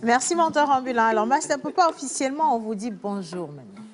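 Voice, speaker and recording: Une animatrice de télévision qui annonce les séquences et lance les intervenants d'un ton directif. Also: female voice, on the high side, animated, quick pace, crowd noise. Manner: directive, cueing segments. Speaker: TV host. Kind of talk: hosting a live TV show.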